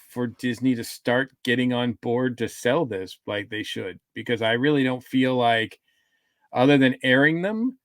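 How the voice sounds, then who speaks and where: A man co-hosting a podcast, giving his opinions casually in a halting, measured way. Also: mid-range voice, no room sound, clean studio sound. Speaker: podcast host.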